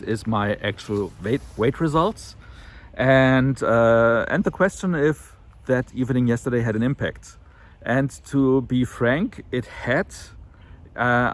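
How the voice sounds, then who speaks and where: A man talking casually to camera in a weight loss vlog, slightly nasal from an allergy and rambling with many fillers and self-corrections. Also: medium pitch. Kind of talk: casual monthly vlog talk.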